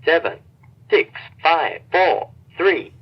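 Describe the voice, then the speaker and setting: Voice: mid-range voice, expressive, takes it slowly, slightly rough. A machine voice prompt giving clipped number announcements through a small, tinny radio speaker. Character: recorded voice prompt of a walkie-talkie. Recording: dull tinny walkie-talkie speaker playback.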